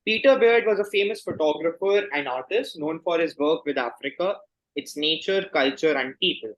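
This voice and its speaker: Man, quiz host, fairly high voice, formal reading, courteous, measured delivery. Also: lo-fi sound.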